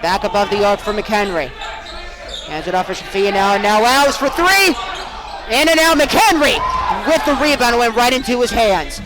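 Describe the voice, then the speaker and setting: A man's voice: very high-pitched, animated, normal speed, low-quality recording. A young man working as a sportscaster, giving emphatic, projected sports broadcast commentary. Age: young adult. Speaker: sportscaster, play-by-play announcer.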